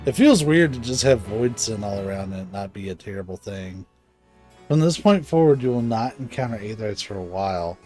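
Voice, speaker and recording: Guy streaming a game, lowish voice, chatting casually, game music playing under him. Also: lively intonation, fairly quick, darkish tone. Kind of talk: casual live game commentary, reading dialogue aloud.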